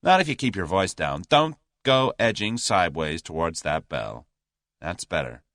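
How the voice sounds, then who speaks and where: A man's voice: low-pitched, big pitch swings, normal speed, a bit bright, no echo, exaggerated voice. A man voicing a brash, confident American millionaire in an audiobook story, with an American accent and a dry, abrupt drawl. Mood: menacing.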